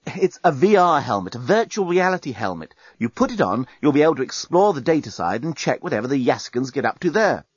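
A man's voice: mid-range voice, sing-song, quick pace, husky, no room sound, dull audio. A man voicing a brash, impatient male alien journalist, urgent and insistent, in a science fiction audiobook. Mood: impatient explaining.

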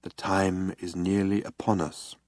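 A man voicing a solemn, formal official who speaks with measured reverence, as an audiobook character. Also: mid-range voice, steady tone, a little mellow, no echo, clean studio sound, natural voice. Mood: solemn awe. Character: solemn, awed, formal male official of an alien race.

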